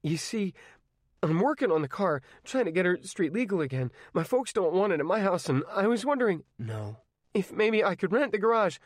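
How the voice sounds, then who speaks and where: A man's voice: high-pitched, big pitch swings, moderate pace, no echo, studio quality, voice pushed up. A man voicing a polite, stubborn teenage boy who pleads insistently. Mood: pleading.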